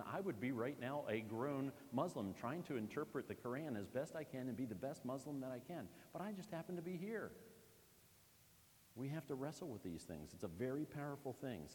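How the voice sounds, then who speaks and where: A man from Pennsylvania preaching a conversational sermon in a church, with lively asides to the congregation. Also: mid-range voice, big-room sound, slight background noise, low-quality recording. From Pennsylvania, USA. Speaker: pastor.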